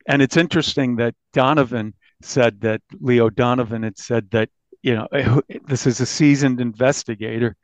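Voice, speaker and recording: A man speaking as a journalist guest, explaining things conversationally in a halting, deliberate way. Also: mid-range voice, dry recording, muffled recording. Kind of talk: conversational interview commentary.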